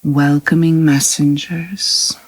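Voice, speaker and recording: A woman reading a scripted guided meditation in a calm, soothing, unhurried manner with long pauses. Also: low-pitched, no echo, low-quality recording.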